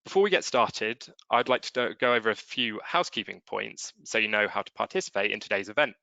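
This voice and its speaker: A man's voice: on the low side, fast, creaky, bright, forceful tone, no room sound. A man giving a polite, formal scripted introduction as a webinar moderator. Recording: dry, muffled webinar audio.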